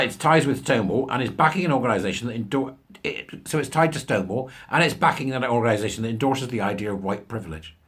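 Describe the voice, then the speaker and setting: A British man in middle age with a husky voice that swings widely in pitch. He delivers opinionated commentary in a colloquial, sarcastic way, sounding incredulous as he rants. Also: a bit bright. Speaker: commentary show host. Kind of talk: ranting commentary on a news article.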